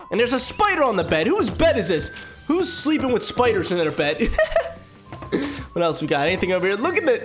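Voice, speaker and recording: Stuffed-up young man narrating gameplay fast. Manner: energetic, slangy, jumps to high-pitched exclamations. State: congested, stuffed-up nose. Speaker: gaming YouTuber. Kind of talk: casual let's play commentary. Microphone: headset. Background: game music.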